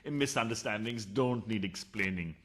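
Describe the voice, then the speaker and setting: An actor playing a flustered, blustering husband in a farcical radio play, speaking in a halting way. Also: male voice, on the low side, fairly bright tone, lightly acted.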